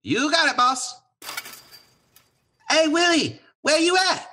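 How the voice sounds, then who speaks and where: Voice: high-pitched, expressive, slow, pushed and bright, slight background noise, exaggerated voice. An actor playing an eager, earnest, folksy country boy in a radio play. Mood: eager, pleading. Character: eager, tender country boy, devoted to his pet.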